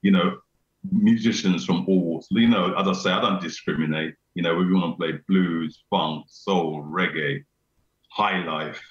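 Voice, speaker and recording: A middle-aged man with a deep bass voice, chatting casually as a guest on a video call, repeating himself and laughing often. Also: steady tone, a little slow. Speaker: venue owner, guest. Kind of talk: casual chat. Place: small room indoors. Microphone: video call webcam. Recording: roomy, dull video-call audio.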